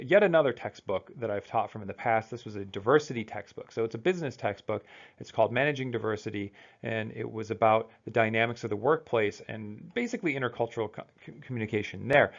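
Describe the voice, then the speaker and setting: A man's voice: mid-range voice, creaky, muffled recording. A man with an American accent giving a recorded college lecture, talking in a loose, conversational way with fillers and restarts. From United States. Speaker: college instructor.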